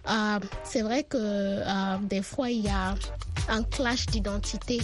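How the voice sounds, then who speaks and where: A woman's voice: mid-range voice, fairly even intonation, takes it slowly. A woman co-hosting an interview, talking in a searching, conversational way with many fillers. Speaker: co-host. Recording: music playing behind.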